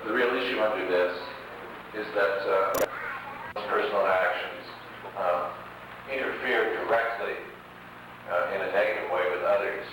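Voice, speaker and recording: A deep-voiced man speaks haltingly, pausing on fillers. He sounds distant in a big echoing hall on a noisy archive tape. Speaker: conference delegate. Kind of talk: meeting discussion. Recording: echoey hall from far off, noisy 1980 archive.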